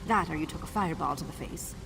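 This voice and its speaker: Low-voiced, sardonic game heroine. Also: female voice, quick pace. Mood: wry. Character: dry, sardonic, businesslike woman investigating a daedric plot. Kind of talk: video game character dialogue. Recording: clear background ambient noise.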